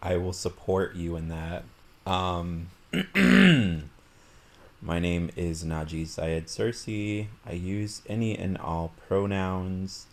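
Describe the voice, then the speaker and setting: Deep-voiced man, measured and earnest. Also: lively intonation, gravelly. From Illinois, US. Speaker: digital media producer. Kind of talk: casual group introduction.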